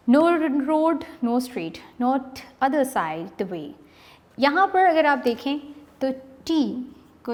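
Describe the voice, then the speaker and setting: A Pakistani woman teacher giving an online English lesson, explaining a poem line by line in a mix of Urdu and English and reading the verses slowly. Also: on the high side, big-room sound. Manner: slow, reads poem lines drawn out.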